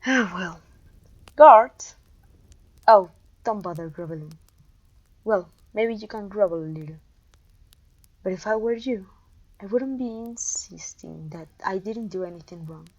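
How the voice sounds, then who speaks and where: Evil sorceress queen, acted by a woman, imperious, scornful, theatrical delivery, mid-pitched female voice, fantasy roleplay audio. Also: a little slow, pauses often, lo-fi sound. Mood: commanding. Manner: imperious, scornful, theatrical, with sighs and disgusted groans. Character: haughty, domineering evil queen and conquering sorceress. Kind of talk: scripted roleplay audio, speaking to a servant.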